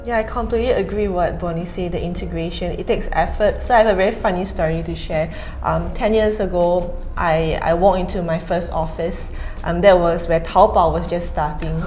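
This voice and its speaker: A woman in her thirties from Singapore with a Singaporean accent, telling lively personal stories on a panel. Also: fairly low pitch, moderate pace, few pauses, soft background music, low-quality recording. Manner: lively anecdotes with fillers. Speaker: panel speaker. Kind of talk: storytelling in a panel talk.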